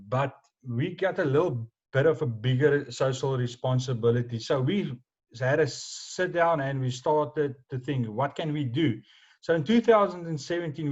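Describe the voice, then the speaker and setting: A man's voice: medium pitch, a little mellow. A man, a rugby club official, speaking earnestly on a video call, often repeating words and restarting sentences. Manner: earnest, with frequent word repetitions and restarts. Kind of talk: informal speech on a video call. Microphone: video call microphone. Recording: dull, lo-fi video call audio.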